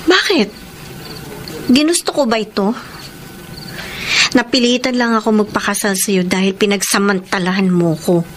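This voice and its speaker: An actress with a Filipino accent playing a young, defensive married student in a radio drama. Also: female voice, medium pitch, moderate pace, pauses often, noisy background, close to an everyday voice. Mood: defensive, exasperated.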